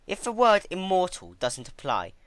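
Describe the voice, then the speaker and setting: Guy doing a regal pony queen, dry and condescending, raised pitch, very formal. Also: huge pitch swings, normal speed, a bit bright, dry recording, heavily acted. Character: regal immortal female pony ruler, haughty, dry, commanding.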